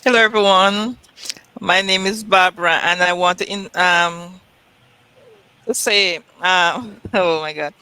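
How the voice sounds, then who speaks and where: A middle-aged woman guest on a livestream chat, nervous and halting, laughing and restarting as she speaks. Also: on the low side, steady tone, bright, forceful tone, clean tone, dry recording, low-quality recording. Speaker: guest, business owner.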